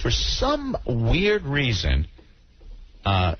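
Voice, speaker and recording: A man's voice: medium pitch, huge pitch swings, extremely slow. A man hosting a radio show with unscripted banter, speaking in a blunt, sarcastic, indignant way. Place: radio studio. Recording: dull, noisy old radio tape.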